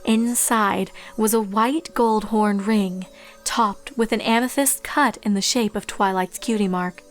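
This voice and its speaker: A woman reading a story aloud in an expressive, scripted narration style. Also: mid-range voice, a little slow, soft background music. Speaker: fan fiction narrator.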